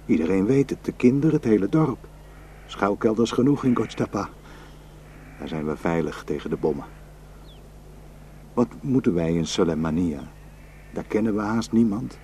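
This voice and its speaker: A man's voice: fairly low pitch, expressive, normal speed, long pauses, mellow, a little hiss or hum, lightly acted. An actor in a radio drama playing a protective, suspicious, hot-tempered village herdsman and husband, scornful and impulsive. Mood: calm, reassuring.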